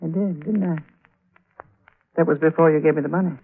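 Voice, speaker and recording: A man's voice: fairly high pitch, fairly even intonation, brisk, breathy edge. An actor playing a sly, smug aging male blackmailer in a vintage radio thriller, terse and insinuating. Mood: sly, menacing. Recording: tinny old radio recording, music behind.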